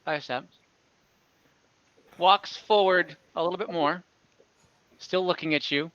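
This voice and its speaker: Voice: medium pitch, takes it slowly, fairly bright tone, clean tone, dry recording. A game master narrating an online tabletop roleplaying game in an improvised, conversational way. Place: online voice call. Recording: dull lo-fi online call audio.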